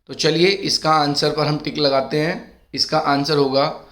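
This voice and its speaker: A middle-aged man teaches an exam-prep class, his voice sitting a touch higher than most men's. His throat is sore. He reads each question out slowly and warmly calls his students beta. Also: little pitch movement. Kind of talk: exam-prep lesson in Hindi mixed with English.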